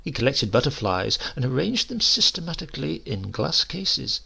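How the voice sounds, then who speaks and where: A man with a husky voice reading a literary story in a measured, wry way. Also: mid-range voice, very animated, bright, forceful tone. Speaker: story narrator. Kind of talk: scripted literary narration.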